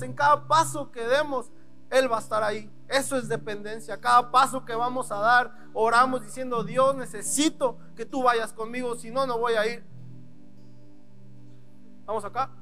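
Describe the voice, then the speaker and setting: High-voiced Mexican preacher, music behind. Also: male voice, fairly even intonation, normal speed, bright, forceful tone. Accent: Mexican Spanish. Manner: conversational preaching with dramatic quoted prayers. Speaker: pastor. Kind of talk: sermon.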